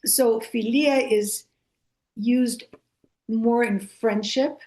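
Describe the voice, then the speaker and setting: A woman whose first language is Greek, a guest author speaking thoughtfully, with pauses and fillers. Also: fairly high pitch, steady tone, dark tone. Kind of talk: casual conversational interview.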